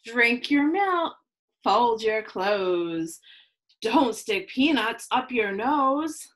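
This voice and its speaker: A woman in her thirties reading a children's picture book aloud in slow, sing-song rhymes, recorded on a webcam at home. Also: fairly high pitch, very animated, a bit bright. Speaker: children's read-aloud host. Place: home room with plants, indoors.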